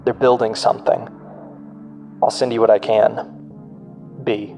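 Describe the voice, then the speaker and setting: A man's voice: on the low side, little pitch movement, takes it slowly, warm tone, faint music behind. A man voicing an anxious researcher, reading secret worried messages in a plain, uneasy, confiding manner. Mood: fearful, regretful. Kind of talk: read-out in-story message.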